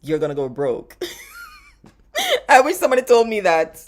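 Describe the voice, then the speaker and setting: A woman's voice: mid-range voice, wildly swooping pitch, moderate pace. A woman with a Jamaican accent, chatting casually and rambling as she answers live-stream questions, often laughing and repeating words. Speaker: travel vlogger answering live chat questions.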